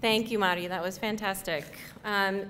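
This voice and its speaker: Woman presenter reading award names; bright, forceful tone, casual delivery, faint hiss behind. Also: mid-range voice, fairly even intonation. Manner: friendly, informal, with filler pauses. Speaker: program staff presenter. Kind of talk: announcing awards at a ceremony. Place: event hall.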